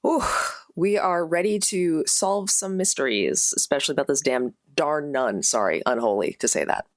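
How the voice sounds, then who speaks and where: A woman's voice: deep voice, expressive, moderate pace, no room sound, studio quality. A woman introducing herself as a tabletop game player in a casual, playful style.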